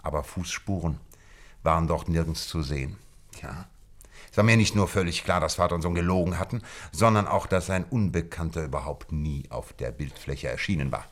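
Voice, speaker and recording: Very deep-voiced detective in a radio play, smug lecturer, precise and sure of himself. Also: male voice, normal speed. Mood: deductive, intent. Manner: lecturing, precise, self-assured. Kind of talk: German radio play acting.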